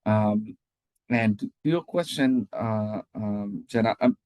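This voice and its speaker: Israeli man with a low voice. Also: slow, darkish tone, dry recording. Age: 30s. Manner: hesitant, many ums, laughs lightly. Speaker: guest speaker, activist. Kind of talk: casual spoken answer. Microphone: video call.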